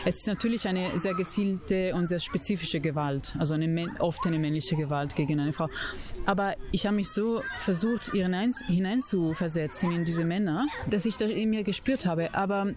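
A woman's voice: lowish voice, moderate pace, crowd noise. A woman from Italy with an Italian accent, a puppeteer answering interview questions, often searching for words. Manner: searching for words, many fillers and restarts.

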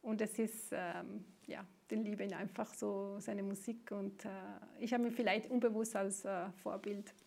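A middle-aged singer-songwriter whose first language is Italian, answering interview questions in a hesitant way, often restarting and searching for words. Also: female voice, mid-range voice, steady tone, measured pace, creaky, hardly any pauses, a little mellow.

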